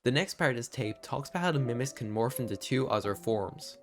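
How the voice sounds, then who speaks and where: A man narrating a scripted video essay in an expressive, informal and confiding way, with casual asides. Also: mid-range voice, brisk, faint music behind.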